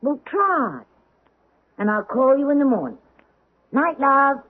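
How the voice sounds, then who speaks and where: A woman's voice: high voice, big pitch swings, takes it slowly, phone-quality sound, exaggerated voice. A woman playing an anguished, devoted middle-aged stage actress in a theatrical, intense 1970s radio drama style. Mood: gently solicitous.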